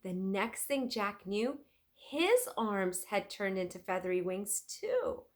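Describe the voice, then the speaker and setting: A woman teacher reading a children's story aloud in an expressive, deliberate manner. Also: medium pitch. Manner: deliberate, clearly phrased, animated.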